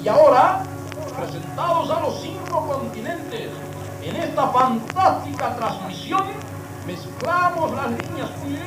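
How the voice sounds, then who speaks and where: A woman playing an excitable, theatrical announcer in a 1960s children's radio play, broadcasting from a flying saucer. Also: high voice, slow, music in the background. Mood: cheerful. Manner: theatrical, exclamatory, addressing little friends. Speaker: host announcer. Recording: echoey distant old radio recording.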